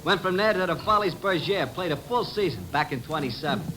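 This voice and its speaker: Radio play: male actor as cocky piano man, highish, very animated, full of showbiz brag. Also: brisk, noisy background, natural voice. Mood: boastful, eager. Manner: brash, patter, name-dropping. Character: boastful, fast-talking cafe piano player. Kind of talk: old-time radio drama acting. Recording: vintage radio recording with music.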